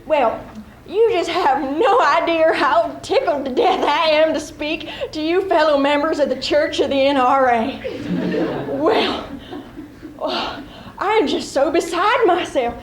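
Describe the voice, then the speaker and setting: Young woman as folksy gun-loving reverend, gushing, slow drawl, high pitch, comic speech. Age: young adult. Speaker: student speech competitor. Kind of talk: comic after-dinner speech in character. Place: school event stage before an audience. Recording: echoey noisy hall.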